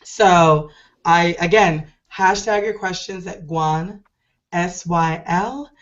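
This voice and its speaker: A middle-aged woman hosting an online show, hoarse and deep-voiced from a cold, talking in a chatty, rambling, enthusiastic way. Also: slow pace, dull audio. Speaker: host. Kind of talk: casual online show talk.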